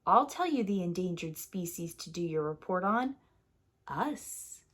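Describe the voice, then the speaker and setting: A woman's voice: lowish voice, animated, unhurried, fairly bright tone. A woman voicing a solemn male bear professor in a storybook, explaining things earnestly. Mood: grave, earnest.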